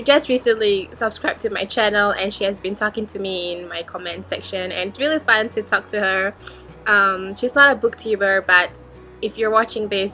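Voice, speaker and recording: A woman's voice: mid-range voice, pushed and bright. A woman in her twenties chatting in a casual Q&A vlog, upbeat and chatty with frequent giggles. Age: 20s. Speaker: book vlogger.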